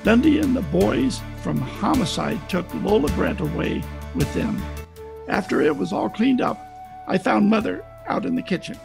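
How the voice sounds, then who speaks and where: Casting: male actor as slangy tough-guy detective for 1940s-style radio play, heavy fry, music behind, recorded at home over a video call. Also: sits high, big pitch swings, normal speed, exaggerated voice. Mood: wry. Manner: slangy, tough-talking, wry. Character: wisecracking hard-boiled private detective, adult man. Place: performer's home, recorded remotely.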